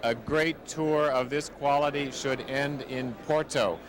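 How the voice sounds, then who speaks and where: A man acting as tour guide, smoothly announcing each stop. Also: medium pitch, steady tone, a little slow, crowd noise.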